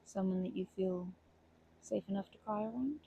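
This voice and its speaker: A woman playing a kindly, protective grandma in an ASMR comfort roleplay, speaking gently and hesitantly with fond pet names. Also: mid-range voice, normal speed, a little hiss or hum, close to an everyday voice. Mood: soothing reassurance. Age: older. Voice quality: creaky, husky.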